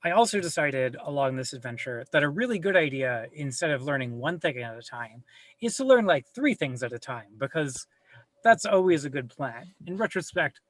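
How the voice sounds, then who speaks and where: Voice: medium pitch, sing-song, moderate pace, no room sound. Self-mocking techie guest, rambling. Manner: rambling, self-deprecating, swears casually. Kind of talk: casual video-call chat. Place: home room with a server rack. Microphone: video call.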